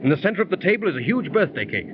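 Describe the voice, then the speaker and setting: A man's voice: high-pitched, big pitch swings, rapid-fire. An actor playing a breezy, wisecracking man in a 1930s radio drama, on a dull, noisy old recording. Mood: hushed storytelling. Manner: jaunty, wisecracking. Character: breezy, joking, sceptical man telling his tale.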